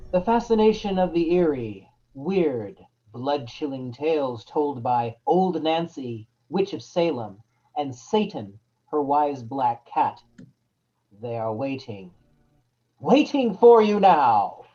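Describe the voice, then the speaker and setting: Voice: mid-range voice, sing-song, slow pace, dark tone, dull audio. An announcer reading a scripted introduction to a radio-style show.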